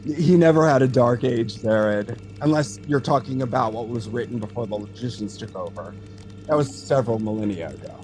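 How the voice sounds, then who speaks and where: An actor playing an experienced, thoughtful male alien data center technician in an audio drama, explaining things in a measured way. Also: medium pitch, darkish tone, soft background music, close to an everyday voice. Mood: reflective.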